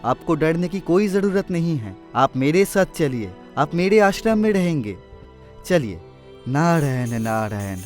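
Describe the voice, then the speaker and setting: A man playing a gentle, devout divine sage in a cartoon, with measured, instructive delivery and a chanting way of talking. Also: a little high, sing-song. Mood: calm reassurance. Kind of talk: cartoon mythological dialogue. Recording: faint music behind.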